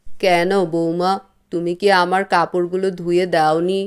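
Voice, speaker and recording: A woman voicing an elderly, stubborn mother in a cartoon, speaking in a plaintive, drawn-out way. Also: lowish voice, flat delivery, smooth, natural voice. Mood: puzzled, plaintive. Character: elderly mother, fussy about food, self-pitying and stubborn. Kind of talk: cartoon voice acting.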